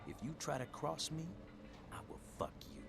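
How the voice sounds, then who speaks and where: A man's voice: on the high side, very animated, normal speed, gravelly, background noise. An actor playing a brash, foul-mouthed male thug in a video game, with a cocky, slangy, threatening manner. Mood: cocky, menacing.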